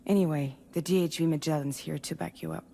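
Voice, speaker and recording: Game role: calm, supportive female team leader, very low mellow voice, dry humour. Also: quick pace, creaky, a little hiss or hum. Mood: calm, businesslike. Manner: calm, matter-of-fact. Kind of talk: video game cutscene acting.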